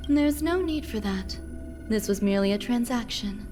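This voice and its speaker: A woman playing a composed, mysterious healer in a game cutscene, speaking in a measured, detached, businesslike way. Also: fairly high pitch, lively intonation, dark tone, close to an everyday voice. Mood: calm, matter-of-fact. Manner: measured, detached, matter-of-fact. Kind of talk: dramatic video game cutscene dialogue. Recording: music under the voice.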